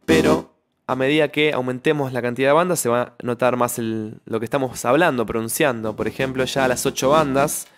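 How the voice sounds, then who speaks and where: A young man explaining music software in a tutorial, close on the mic in a home studio. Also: mid-range voice, fairly quick. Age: young adult. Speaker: music production tutorial host. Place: small home studio with foam panels. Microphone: close studio microphone on a stand, with headphones.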